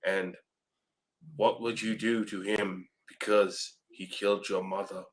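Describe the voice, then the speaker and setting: A man's voice: on the low side, flat delivery, slow, low-quality recording. A man co-hosting a casual movie review chat, speaking bluntly with some swearing. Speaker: review show co-host.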